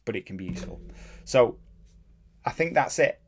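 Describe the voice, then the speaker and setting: A man, a fitness coach, talks casually on his own podcast in a plain, even voice, rambling and correcting himself as he goes, and sometimes slipping into a mild rant. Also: mid-range voice, moderate pace, a little hiss or hum. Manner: rambling, self-correcting, drops into mild ranting. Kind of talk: casual solo podcast talk.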